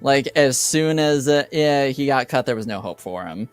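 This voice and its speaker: A man speaking as a game master in a casual, halting way, pausing mid-sentence as he narrates. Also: medium pitch, expressive, clear, resonant tone, soft background music.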